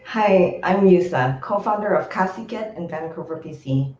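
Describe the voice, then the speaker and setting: A woman from Indonesia whose first language is Indonesian, giving a steady, well-rehearsed startup pitch over a video call. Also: moderate pace, reverberant, lo-fi sound.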